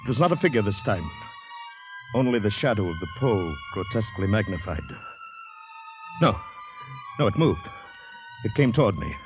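An actor playing a panicky, polite, shell-shocked Canadian man who narrates his ordeal intensely and haltingly in a 1950s radio drama. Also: lowish voice, big pitch swings. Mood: panicked, tense. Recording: dull vintage radio recording, music behind.

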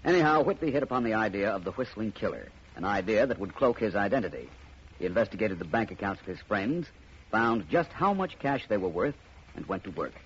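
An actor playing a shrewd, self-assured detective in a 1950s radio drama, suave and deliberate with wry phrasing. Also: male voice, lowish voice, expressive, a little hiss or hum, natural voice. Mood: explaining calmly. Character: shrewd, self-assured private detective explaining his case. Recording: muffled vintage radio recording.

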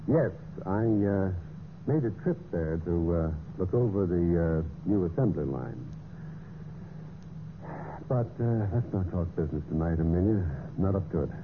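A man's voice: sits low, expressive, measured pace, background noise. An actor playing a scheming, nervously evasive husband who narrates his murder plot in an old radio mystery. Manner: hesitant, stalling with uhs when speaking to others. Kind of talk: 1940s-50s radio mystery acting with first-person narration. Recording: tinny band-limited vintage radio recording, music.

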